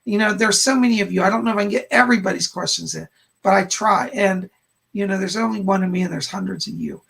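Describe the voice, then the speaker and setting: A man hosting a live stream as a psychic medium, hoarse and raspy and tired, gushing over viewers in casual chat from his home studio. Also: high voice, fast, fairly bright tone. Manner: effusive, gushing greetings with frequent squeals of delight.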